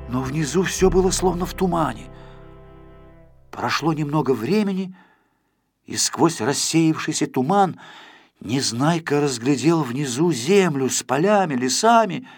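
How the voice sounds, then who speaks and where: A man reads a children's adventure tale as a dramatized audiobook. His delivery is expressive and theatrical, with a very lively, swooping intonation. Music plays softly underneath. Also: on the high side, slightly rough. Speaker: audiobook narrator. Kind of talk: dramatized children's story narration.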